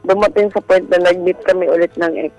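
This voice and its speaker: A woman's voice: lowish voice, fairly even intonation, fairly quick, lo-fi sound. A woman with a Filipino accent telling a personal story as a guest, in a casual and hesitant style. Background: background music.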